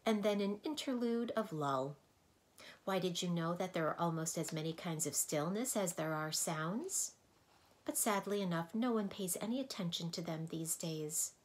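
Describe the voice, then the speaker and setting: A woman voicing the lonely female keeper of sounds in a storybook, gentle and soft-spoken, with a wistful, dreamy way of talking. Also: fairly low pitch. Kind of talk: storybook read-aloud.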